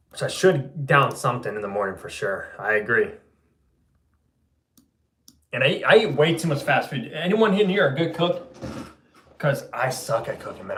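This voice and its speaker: A young man hosting a fragrance livestream and reading out viewer comments in an easygoing way, his voice going a little hoarse. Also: medium pitch, a bit bright, echoey room. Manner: laid-back, loose, says bro and man a lot. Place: room at home.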